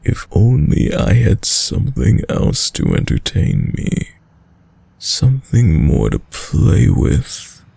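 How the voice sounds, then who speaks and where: A man playing a dominant, sadistic vampire lord in an intimate audio roleplay, speaking in a deep, breathy, gravelly voice with courtly, teasing menace. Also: wildly swooping pitch, mellow, dry recording, heavily acted. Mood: commanding, seductive. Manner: slow, silky, formal, teasing menace with drawn-out pauses.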